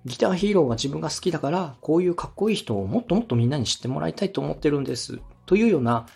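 Lively male coach with a slightly husky voice. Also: a little high, very fast. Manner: polite, explanatory, step-by-step, acts out examples. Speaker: radio director and podcast host giving feedback. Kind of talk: structured coaching talk on a podcast.

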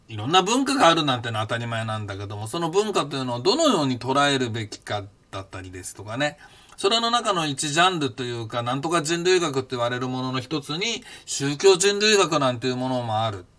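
A man's voice: medium pitch, sing-song, brisk, bright, forceful tone. An older Japanese man hosting a reflective monologue, musing aloud in a rambling way with many fillers and restarts. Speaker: podcast host recounting his past.

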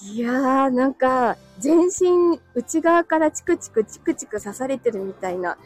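High-voiced, slightly breathless woman livestreamer. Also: lively intonation, a little slow, dry recording, soft background music. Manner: chatty, polite, laughs often. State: breathless from walking uphill, recovering from illness. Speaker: livestream host. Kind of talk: casual livestream chat with viewers. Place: outdoors, walking on narrow hilly street.

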